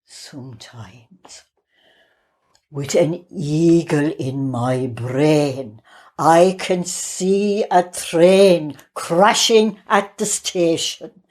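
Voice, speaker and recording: An Irish woman actor playing a haunted, persecuted outcast madwoman in a plaintive, deliberate dramatic poetry reading. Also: deep voice, a little mellow, heavily acted.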